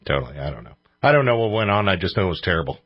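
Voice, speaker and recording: A man's voice: sits low, sing-song, racing, no room sound, highs cut off. A man hosting a radio show in casual chat, with an informal, joking manner.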